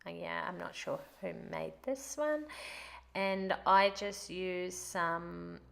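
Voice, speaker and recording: A woman's voice: on the low side, takes it slowly, creaky. A woman hosting a craft video, narrating casually in a chatty, rambling way, sounding stuffed up from a cold. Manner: chatty and rambling, laughs often.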